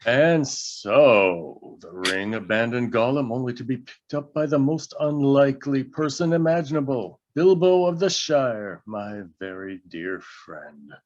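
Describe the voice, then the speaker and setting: A grave old wizard, read by a man at a table read. He makes solemn, measured pronouncements in a medium-pitched voice, recorded a little dull and lo-fi. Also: expressive, natural voice. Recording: slightly dull lo-fi audio.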